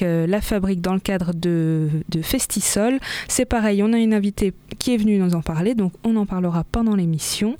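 A woman hosting a community radio show, presenting upcoming events in a relaxed way with frequent hesitations. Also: medium pitch, no echo. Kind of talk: reading event listings on local radio.

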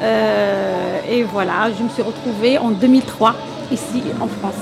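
Woman, hesitant, gravelly voice. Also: mid-range voice, measured pace, music in the background. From Morocco. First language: Arabic. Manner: hesitant, many fillers. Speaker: language teacher guest. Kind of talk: interview answers.

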